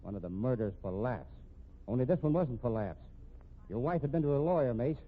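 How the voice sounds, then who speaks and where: An actor playing a wry, dogged police captain with a folksy, probing manner in a 1950s radio detective drama. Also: male voice, medium pitch, animated, a little fast, narrow, tinny audio, lightly acted. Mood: coolly accusing. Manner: folksy, hesitant probing with casual uh and hmm. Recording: thin, band-limited 1950s radio recording.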